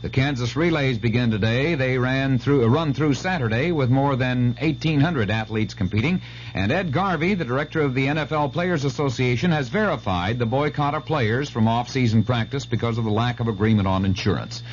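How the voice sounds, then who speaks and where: A man with a medium-pitched voice reads a scripted radio sports and weather bulletin. The recording is dull and old, with a faint hum underneath. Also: brisk. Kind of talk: scripted news bulletin reading.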